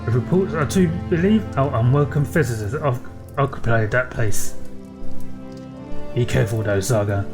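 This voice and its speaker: A man's voice: medium pitch, moderate pace, music in the background, lightly acted. A man reading game quest dialogue as a worried farm boss and former sailor, with folksy, old-fashioned phrasing. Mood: cautioning.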